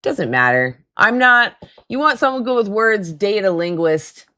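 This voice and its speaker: A woman hosting a casual livestream call-in with a raspy, hoarse voice. She chats loosely, laughs often and talks back to her chat. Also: mid-range voice, expressive, measured pace, bright, forceful tone. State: hoarse throat.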